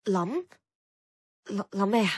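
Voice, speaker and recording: An actress playing a frustrated, outspoken young radio worker in a Cantonese radio drama, with a Hong Kong accent and wry, expressive, colloquial delivery. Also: female voice, mid-range voice, slow pace, slightly rough, no room sound, studio quality. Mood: hesitant. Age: young adult. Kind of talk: radio drama acting with inner-monologue narration. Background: background music.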